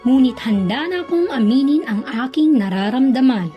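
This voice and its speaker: A woman's voice: high voice, lively intonation, moderate pace, dull audio, soft background music. A young woman with a Filipino accent playing a lovesick narrator confessing hidden love in a radio drama. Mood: anxious, resolved.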